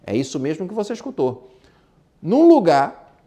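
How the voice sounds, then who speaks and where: A man's voice: on the high side, sing-song, moderate pace, mellow. A man with a Brazilian accent giving an informal business lesson, emphatic, repeating his points and posing rhetorical questions. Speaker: business instructor. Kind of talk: informal lecture on exporting and business.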